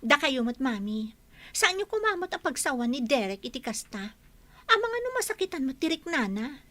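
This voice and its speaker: An actress playing a defensive, exasperated young wife in an Ilocano radio drama, with an Ilocano accent. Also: female voice, high-pitched, sing-song, normal speed, a bit bright, slight background noise.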